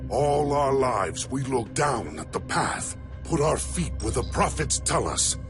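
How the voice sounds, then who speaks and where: An actor playing a solemn, troubled alien warrior leader in a video game, speaking in a grave, formal, measured way. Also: male voice, mid-range voice, lively intonation, music in the background, lightly acted. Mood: anguished. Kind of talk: video game cutscene acting.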